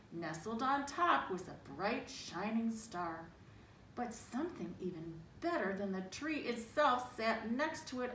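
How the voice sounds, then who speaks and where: A woman reads a picture book to young children with dramatic emphasis. Her fairly high voice moves up and down freely at an unhurried pace. She sounds slightly away from the mic, adding small asides as she goes. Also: a bit bright. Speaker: storybook reader. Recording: roomy, distant mic, faint noise.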